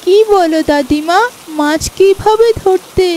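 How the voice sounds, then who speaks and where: Eager little village girl, cartoon dub, tiny very high voice, slow pace, cheerful. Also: warm tone. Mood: curious. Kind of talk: Bengali animated moral cartoon dubbing. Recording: rain and cricket noise behind.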